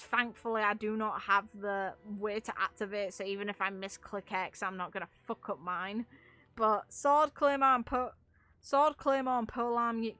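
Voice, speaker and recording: A woman's voice: mid-range voice, pushed and bright. A woman doing casual gaming commentary as a streamer, rambling and opinionated with some casual swearing. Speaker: game streamer.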